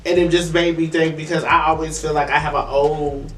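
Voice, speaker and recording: A young man interviewing a musician in a relaxed, chatty way with many fillers. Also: a little high, brisk. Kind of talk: casual interview chat. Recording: echoey room, distant mic, faint music.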